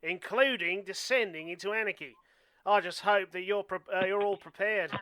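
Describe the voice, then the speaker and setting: A man's voice: high-pitched, moderate pace, pushed and bright, no room sound. A man playing an ominous, self-assured doom-mongering passenger in an amateur radio play. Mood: smugly alarmist. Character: doom-mongering know-it-all male passenger predicting anarchy.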